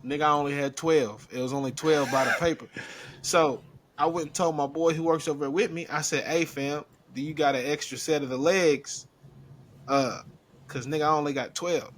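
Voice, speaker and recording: A man's voice: a little high, steady tone, moderate pace, a little hiss or hum. Laid-back man in his thirties telling a story. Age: 30s. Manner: laid-back storytelling, lots of slang and fillers. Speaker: podcast co-host. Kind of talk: casual chat.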